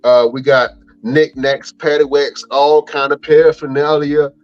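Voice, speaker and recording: A man's voice: a little high, steady tone, unhurried, highs cut off. A man co-hosting a wrestling podcast in a laid-back, slangy way, plugging the show and chatting with his co-host. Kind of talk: casual podcast chat.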